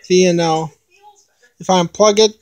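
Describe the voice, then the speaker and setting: A young man giving a casual unboxing demonstration, explaining things in a halting, repetitive way into a handheld camera. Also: high-pitched, steady tone. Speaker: hobbyist video maker. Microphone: handheld camera, no tripod.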